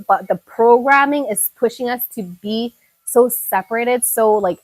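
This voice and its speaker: A young woman from Thailand talks casually on a live stream, reading her viewers' messages aloud and answering them with lots of fillers and repeated words. Her voice sits a little high, and the sound is close and dry with no room echo. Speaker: YouTuber reading viewer chat.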